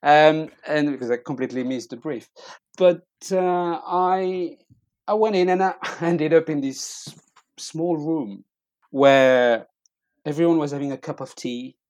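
A middle-aged man with a French accent telling personal stories in casual conversation, haltingly, often repeating words as he searches for them. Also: fairly high pitch, darkish tone, dry recording. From eastern France. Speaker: interview guest.

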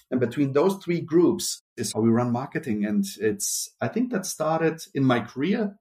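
A middle-aged man with a German accent, born in Brazil, speaking casually as an interview guest with many restarts. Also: mid-range voice. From Brazil, raised in Germany. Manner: rambling, many restarts and fillers. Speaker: marketing executive guest.

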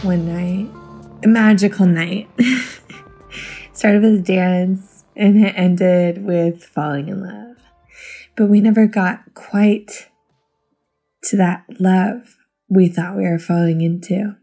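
A woman telling a personal story and reading poems aloud in an intimate, reflective way, with halting pauses. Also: on the low side, steady tone, mellow, breathy edge, soft background music. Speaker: listener contributor.

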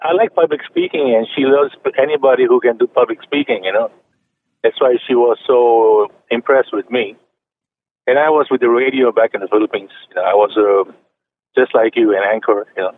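A man's voice: mid-range voice, a little fast. A man in his late seventies from China, calling in to a radio show and chatting with many fillers and restarts. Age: 70s. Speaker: caller. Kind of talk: phone-in chat. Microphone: telephone. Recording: tinny phone line.

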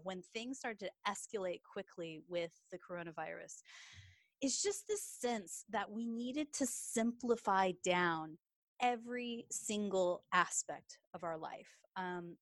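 A woman's voice: mid-range voice, fairly bright tone, no echo. A woman in her forties with a Texan accent, a business coach guesting on an interview and chatting upbeat with folksy phrases. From Texas. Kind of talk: casual interview chat.

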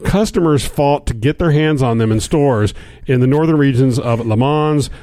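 A man's voice: medium pitch, moderate pace, warm tone, no room sound. A man co-hosting a podcast, bantering casually and reading odd news stories with joking, wry asides. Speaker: podcast co-host.

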